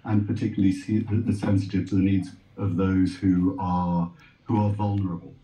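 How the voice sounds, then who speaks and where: A middle-aged man giving earnest, measured and formal answers as a panel guest, heard as TV sound replayed through speakers. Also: sits low, flat delivery, reverberant, a crowd in the background, poor audio. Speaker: rabbi on a discussion panel.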